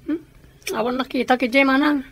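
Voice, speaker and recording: High-voiced, earnest Ilocano schoolchild. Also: female voice, fairly even intonation, a little fast. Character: earnest, questioning schoolchild, younger sibling. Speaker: radio drama actor. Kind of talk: Ilocano radio comedy drama acting.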